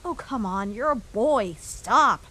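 Woman as a slow-talking, sassy human child. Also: a little high, animated, sharp tone, husky, heavily acted. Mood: impatient, scornful. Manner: sardonic, sassy. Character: bossy, smug, teasing human child. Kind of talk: comic dub voice acting.

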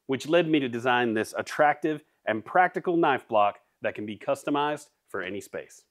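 A man's voice: lowish voice, a little hiss or hum. A man, hosting a woodworking channel in an upbeat, jokey how-to manner from his home workshop. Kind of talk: scripted workshop narration.

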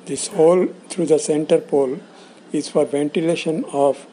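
A man giving a scripted instructional narration in a measured, explanatory way, in short phrases. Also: on the high side, fairly even intonation, warm tone, a little hiss or hum. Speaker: instructor presenting components.

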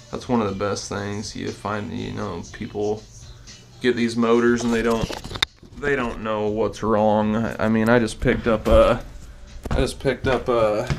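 Gravelly laid-back man rambling. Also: on the low side. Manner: rambling, many fillers and restarts; laid-back, self-deprecating. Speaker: mini bike builder. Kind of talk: casual walkaround vlog. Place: home workshop room, indoors.

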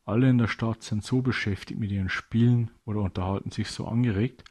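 A man narrates a scripted story for children. His voice sits a little low and has a husky, unpolished grain. He delivers the text as a storyteller. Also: steady tone, a little fast, a little mellow.

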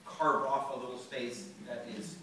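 A man's voice: medium pitch, steady tone, a little slow, pushed and bright, a little hiss or hum. A man on a panel answering thoughtfully, with many fillers and restarts, recorded from the audience of a large lecture hall. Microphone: phone recording from the audience. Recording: echoey hall from far off.

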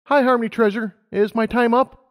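A man's voice: extremely high pitch, fairly even intonation, brisk, fairly bright tone, pitched above the performer's own voice. A man voicing an eager, bubbly young female pony pilot in an acted audiobook, chirpy and earnest. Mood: friendly.

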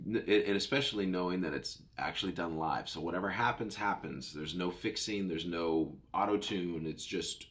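A man's voice: sits low, a bit bright. A middle-aged man hosting his own podcast from a home studio, rambling cheerfully, a bit stuffed up from a cold. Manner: rambling, upbeat, self-correcting. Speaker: podcast host. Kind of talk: casual solo podcast chat. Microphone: studio mic on boom arm, headphones.

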